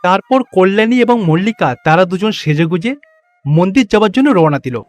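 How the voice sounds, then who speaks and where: Guy narrating a kids cartoon story, high voice for a man, soft music behind. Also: animated, moderate pace, dry recording.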